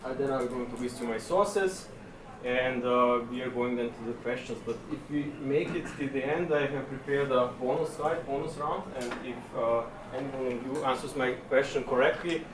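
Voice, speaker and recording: A man delivers a conference tech talk in an easy, conversational way, often stopping on an "uh" as he goes. His voice sits at a middling pitch and is picked up from a distance, so it sounds a little far off. A roomy echo and steady background noise fill the space around him. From Serbia. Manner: relaxed and chatty, pausing often on fillers. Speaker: conference speaker. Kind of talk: technical presentation. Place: conference session room. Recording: echoey room, distant mic, room noise.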